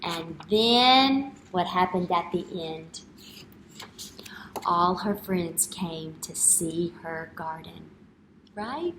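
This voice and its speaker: A woman in her thirties, an elementary teacher reading a picture book aloud and putting questions to young children in an expressive way. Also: on the low side, very slow. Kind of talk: children's read-aloud and retelling lesson. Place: indoor classroom. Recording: clear background room noise.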